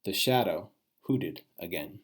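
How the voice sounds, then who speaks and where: A man, a teacher, reading a children's storybook aloud in an expressive way with dramatic pauses. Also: deep voice, slightly rough.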